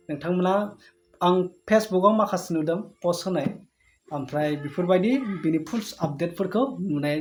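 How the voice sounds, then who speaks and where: A young man gives a talking-head vlog, his voice a little higher than most men's. He speaks slowly and earnestly, close to a phone in a small plain room. Faint music plays beneath him. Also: a little mellow. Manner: slow, earnest storytelling to viewers. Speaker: vlogger.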